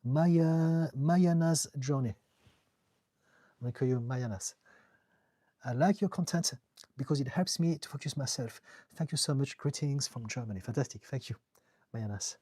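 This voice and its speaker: A middle-aged man from France with a French accent, calmly reading viewer questions and answering them as a therapist on a live stream. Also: medium pitch, normal speed, long pauses, dry recording. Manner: calm, reassuring, reads out viewer comments with light laughs. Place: home in the UK.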